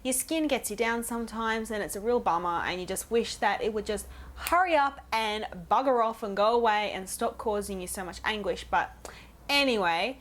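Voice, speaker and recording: A woman, talking viewers through her makeup routine while croaky from a cold. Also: on the high side, fairly bright tone. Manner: chatty, ranting, addresses viewers directly. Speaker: beauty vlogger. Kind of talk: casual makeup tutorial talk.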